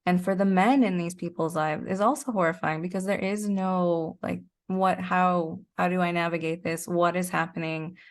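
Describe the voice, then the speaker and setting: A woman's voice: lowish voice, fairly even intonation, moderate pace, hardly any pauses. A middle-aged woman as an interview guest, explaining her points conversationally in a thoughtful, step-by-step way.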